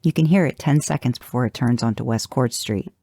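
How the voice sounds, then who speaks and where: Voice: mid-range voice, fairly quick, warm tone, dry recording, clean studio sound. A narrator reading first-person fan fiction in an audiobook style, with a wry, intimate delivery. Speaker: fan fiction podcast reader.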